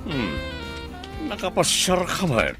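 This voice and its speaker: A man's voice: a little high, huge pitch swings, takes it slowly, sharp tone, husky, faint music behind, heavily acted. A man acting a middle-aged village father and mildly teasing husband in a radio drama. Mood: teasing, reasoning.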